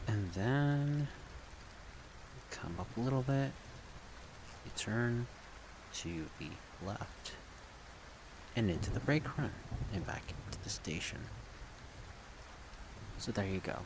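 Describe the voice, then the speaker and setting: A man talking softly in a casual, halting ASMR ramble. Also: medium pitch. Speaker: ASMR creator rambling about a project. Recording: steady rain-like background noise.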